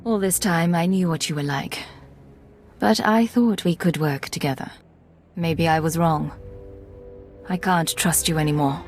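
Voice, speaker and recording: An actress playing a composed, dutiful, coolly suspicious Imperial agent in a video game, formal and controlled. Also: female voice, low-pitched, measured pace, pauses often, a little mellow, soft background music. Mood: stern, disappointed.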